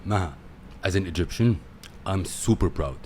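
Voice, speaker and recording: A man's voice: low voice, normal speed, a little hiss or hum. A man hosting a talk-show interview, effusive and given to repeating his praise. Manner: effusive, repeats himself warmly.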